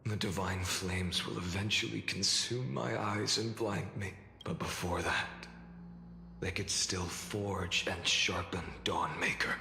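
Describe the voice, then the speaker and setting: A man acting a grimly resolute, world-weary immortal warrior in a video game, solemn and detached in short halting phrases. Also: sits low, steady tone, gravelly, heavily acted. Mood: cold threat. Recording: long echoing reverb, game music behind.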